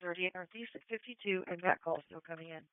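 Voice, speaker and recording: A police dispatcher heard over a tinny, narrowband scanner radio. The voice is clear and smooth at a middling pitch, very dry, reading out calls and numbers in clipped procedural phrases. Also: quick pace, no room sound.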